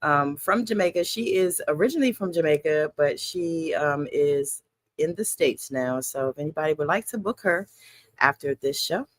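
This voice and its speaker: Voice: mid-range voice, lively intonation, moderate pace, no echo. A host of an online radio show, greeting viewers and chatting casually during a livestream in an upbeat, welcoming way. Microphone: streaming setup, computer mic.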